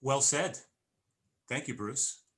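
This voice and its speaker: Male host, bright forceful tone, halting and friendly delivery. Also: mid-range voice. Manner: halting, many fillers and restarts, friendly. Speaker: interviewer. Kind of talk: interview wrap-up.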